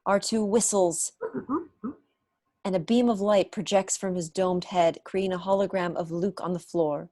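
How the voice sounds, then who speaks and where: A woman's voice: on the low side, steady tone, moderate pace, warm tone, no echo, studio quality. A young woman reading stage directions as scripted narration for an online table read. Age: young adult. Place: room at home, on a video call.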